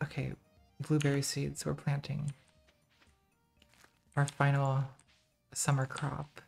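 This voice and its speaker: A woman in her thirties with a very soft, quiet voice, chatting casually to her live-stream chat while playing a game, self-deprecating and laughing often. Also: extremely low pitch, monotone, slow, creaky, soft background music. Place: home streaming room, indoors.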